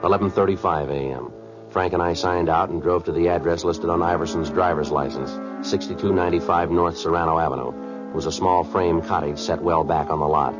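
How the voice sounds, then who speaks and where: An actor playing a stoic, courteous police detective in a 1950s radio drama, narrating and questioning in a terse, deadpan way. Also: male voice, deep voice, a little fast, close to an everyday voice. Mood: flat, factual. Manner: deadpan, terse, matter-of-fact. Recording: muffled vintage radio recording with music.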